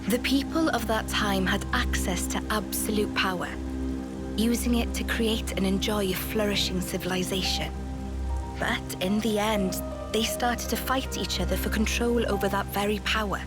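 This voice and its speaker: A woman acting a wise female leader in a video game, speaking at a middle pitch. She is grave and measured, explaining like someone who carries old history. Clear music plays behind her. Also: close to an everyday voice. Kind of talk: scripted video game cutscene dialogue.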